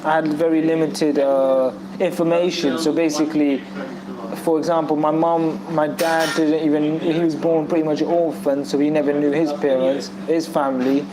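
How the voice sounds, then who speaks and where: A man's voice: on the high side, level tone, moderate pace. A man in a casual group discussion, rambling through a personal story with many restarts and fillers. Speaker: discussion guest. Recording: audible background noise.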